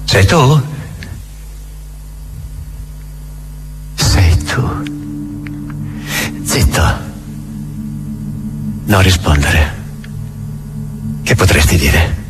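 Casting: cynical, world-weary inquisitor, radio drama, lowish register, heavy pauses and pointed questions, scored with music. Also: male voice, big pitch swings, exaggerated voice. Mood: cold reproach. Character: cynical, accusing old Grand Inquisitor, weary and menacing. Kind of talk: theatrical monologue, radio drama. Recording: music under the voice.

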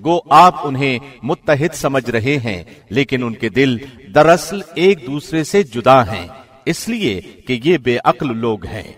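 A man with a medium-pitched voice reads translated scripture aloud. His delivery is formal and measured, like a professional radio presenter working from a script. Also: expressive. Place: studio.

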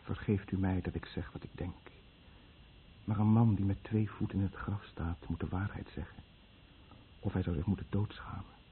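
A man's voice: low voice, fairly even intonation, moderate pace, close to an everyday voice. An actor playing a solemn, guilt-ridden and stubborn Japanese boatman who faces death in a radio play. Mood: grave, sorrowful. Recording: muffled, noisy old radio play recording.

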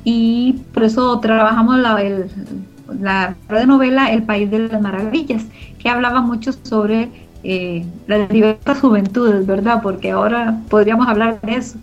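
A woman with a fairly high voice explains her work in a spontaneous radio interview. The sound is a little dull, with soft music underneath. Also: fairly even intonation, moderate pace. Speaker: community radio producer being interviewed.

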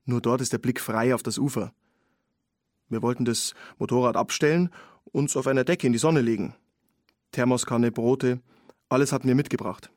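An actor playing a sober, observant man in a radio play, giving a detailed account in clipped, measured phrases. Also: medium pitch, steady tone, darkish tone, no room sound, studio quality, natural voice. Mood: calm recollection. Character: man recounting finding a drowned woman, sober and observant. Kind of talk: radio play testimony narration.

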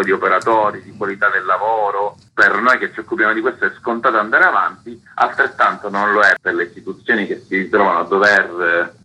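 Bright, forceful, husky man. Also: on the low side, rough recording. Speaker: artistic director of a theatre festival, interview guest. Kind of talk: explanatory radio interview answers.

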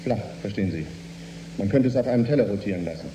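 An actor playing a matter-of-fact spaceship navigator who explains things precisely in a radio drama. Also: male voice, lowish voice, lively intonation, fast, natural voice. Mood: explanatory. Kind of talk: German radio drama acting. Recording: roomy studio with faint hum.

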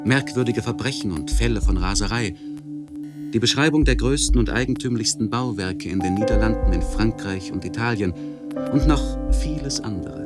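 A man playing a melancholy, learned nobleman in a literary radio monologue, speaking in a solemn, reflective, measured way with emphatic swells. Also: lowish voice, loud music behind the voice, lightly acted. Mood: wistful, reflective. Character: melancholy, learned nobleman confessing a lost inner vigour.

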